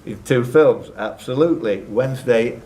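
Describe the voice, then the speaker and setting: A man's voice: mid-range voice, moderate pace, a little mellow. Man hosting a live quiz. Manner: jokey, teasing asides to contestants. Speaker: quiz host and question reader. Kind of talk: live quiz hosting, reading questions with banter.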